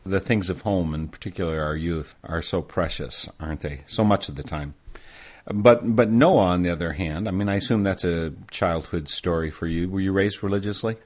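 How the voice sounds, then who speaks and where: A man's voice: low-pitched, moderate pace, darkish tone. A man with a Midwestern American accent, hosting a radio interview and asking thoughtful, curious questions. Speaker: radio host.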